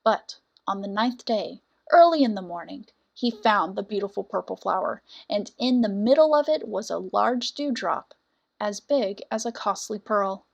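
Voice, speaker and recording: A woman reading a scripted fairy tale as a storyteller, with dramatic, deliberate phrasing. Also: mid-range voice, gravelly. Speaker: storyteller, audiobook narrator.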